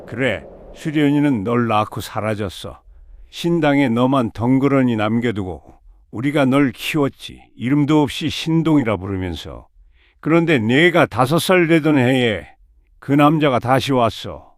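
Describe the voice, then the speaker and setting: A man's voice: medium pitch, animated, unhurried, no echo, clean studio sound, lightly acted. A man acting a folksy old village chief. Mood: wistful recounting. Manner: folksy, plain old-timer storytelling.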